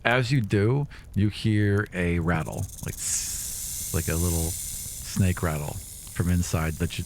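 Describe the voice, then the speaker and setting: A man with a low voice runs a tabletop roleplaying game, improvising descriptions conversationally. He often halts and rewords as he goes. Faint noise sits behind him. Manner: conversational, halting and self-correcting as he describes. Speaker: game master.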